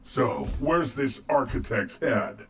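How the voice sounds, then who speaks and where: An actor playing a gruff, cocky veteran agent in a video game, laconic and dryly wry. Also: male voice, medium pitch, lively intonation, slow pace, fairly bright tone. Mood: wry, weary.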